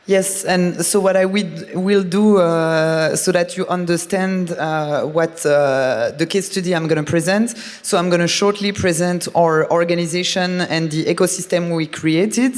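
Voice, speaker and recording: A man's voice: sits high, fairly even intonation, a little slow, few pauses. A man with a Belgian French accent, an artistic director giving a conference presentation with frequent hesitant fillers. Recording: reverberant hall.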